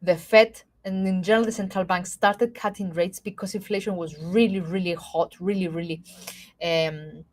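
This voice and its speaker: A woman from Cyprus with a Greek Cypriot accent, explaining markets in a live webinar, halting with many fillers and restarts and often checking in with "okay?". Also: fairly low pitch, fairly even intonation. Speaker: market analyst.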